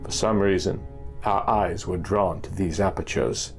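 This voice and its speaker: A man reading a first-person pulp horror story as a narrator, in a formal, measured, dramatic literary style. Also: low-pitched, steady tone. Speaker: audiobook narrator. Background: music.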